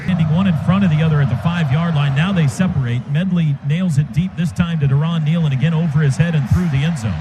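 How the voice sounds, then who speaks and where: A man calling a football game play by play for radio, in quick clipped bursts that jump up on big plays. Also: on the high side, over music. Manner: rapid, clipped calls that rise sharply on big plays. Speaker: play-by-play announcer. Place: stadium radio booth. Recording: noisy stadium radio broadcast.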